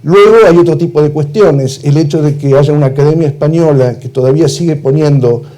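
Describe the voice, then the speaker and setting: Argentine man, mellow critical talk. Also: a little high, fairly quick. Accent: Argentine Spanish. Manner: critical, ironic, with frequent "eh" and "este" fillers. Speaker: translator and cultural manager. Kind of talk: prepared talk at a cultural event.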